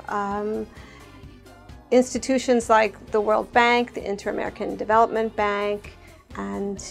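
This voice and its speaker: A woman speaks slowly in a gravelly voice as an interviewee. She pauses often and fills the gaps with "um" and "uh", while soft music plays behind her. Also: mid-range voice. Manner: hesitant, many fillers. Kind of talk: interview answer.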